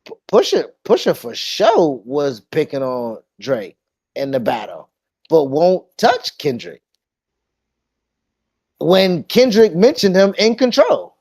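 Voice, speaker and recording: A man's voice: a little high, big pitch swings, takes it slowly, gravelly, pauses often, darkish tone. A man co-hosting a podcast, arguing his point emphatically in a casual debate about rap. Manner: emphatic and argumentative, quoting lyrics. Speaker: podcast co-host.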